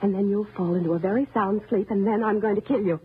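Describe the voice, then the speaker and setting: An actress playing a timid, resentful wife turned murderous, in old-time radio thriller style, menacing and bitter. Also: female voice, mid-range voice, steady tone, quick pace, close to an everyday voice. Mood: calm menace. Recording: noisy, narrow-band old radio recording.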